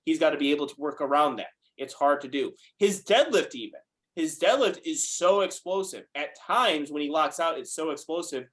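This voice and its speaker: Young man in his twenties, fast, animated podcast guest with a somewhat high voice. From United States. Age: 20s. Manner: fast, animated, swears freely. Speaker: guest powerlifter. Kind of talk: casual podcast chat.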